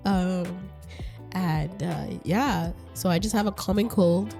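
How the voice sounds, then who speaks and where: A middle-aged woman hosts a casual podcast chat, her voice hoarse and raspy from a cold with a stuffy nose. Her tone is warm and mellow, and she rambles along, laughing often. Soft music plays faintly in the background. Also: lowish voice, takes it slowly. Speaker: podcast host.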